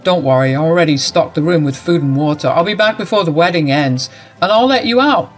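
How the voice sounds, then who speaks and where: A woman puts on a cold grown son who talks in a lower, flat, matter-of-fact way, brushing his mother aside without any warmth. Faint music plays softly underneath. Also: fairly quick, natural voice.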